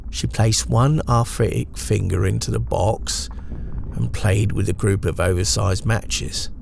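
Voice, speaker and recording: A British man reading a first-person horror story in a measured, reflective way, as a storyteller. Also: on the low side, fairly even intonation, creaky, background noise.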